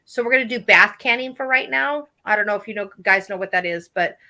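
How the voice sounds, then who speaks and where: Bright high-voiced woman, blunt and sweary. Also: fast talker. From Oregon. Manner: blunt and animated, swears freely. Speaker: guest in a group chat. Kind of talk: casual group chat.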